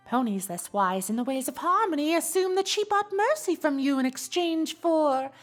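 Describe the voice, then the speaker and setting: A woman playing a refined, teasing, gossipy female pony with theatrical, genteel phrasing in a cartoon fan audio drama. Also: high-pitched.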